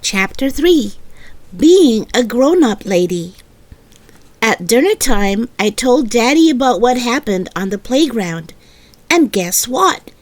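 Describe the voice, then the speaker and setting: Slow, animated woman reading a children's story. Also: a little high. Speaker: read-aloud narrator. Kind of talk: expressive scripted children's story narration.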